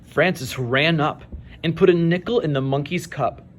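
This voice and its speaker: A man reading a children's story aloud, with measured delivery and dramatic pauses. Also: fairly high pitch, slight background noise. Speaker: storybook reader. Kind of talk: expressive children's read-aloud narration.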